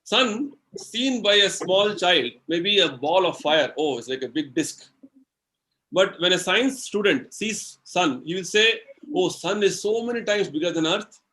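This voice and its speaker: A man with an Indian English accent explaining spiritual ideas in a devotional lecture, slow and explanatory, using everyday examples and many "you know"s. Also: high-pitched, bright, forceful tone, poor audio. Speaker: spiritual speaker answering questions.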